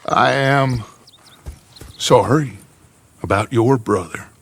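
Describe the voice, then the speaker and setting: An actor playing a proud, wary outlaw gang leader in a video game, speaking gravely in a husky voice. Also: male voice, mid-range voice, slow, exaggerated voice. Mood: guarded, grave. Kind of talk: video game cutscene acting.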